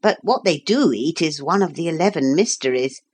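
Brief: grand old man, low and measured, lecturing everyone, as a woman narrator plays him. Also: expressive, darkish tone, slightly rough. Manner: stately, formal, slow and lecturing. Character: pompous old man, ark keeper, fussy and grand. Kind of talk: audiobook character voice.

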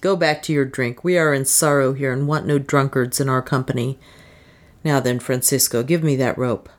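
A woman's voice: extremely low pitch, fairly even intonation, normal speed. A woman voicing a cold, commanding male adventurer in an audiobook, speaking in a curt, dry way. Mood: stern, scornful. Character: cold, desperate, commanding British adventurer man.

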